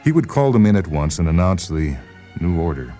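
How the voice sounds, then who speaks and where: A man giving a measured, expressive, dramatic reading of a literary short story. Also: low voice, faint music behind. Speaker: narrator, short story reader. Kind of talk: dramatic scripted literary narration.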